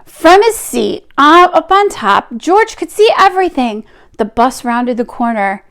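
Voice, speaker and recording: A woman reading a picture book aloud to children, expressive, with drawn-out emphasis and chatty asides. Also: sits high.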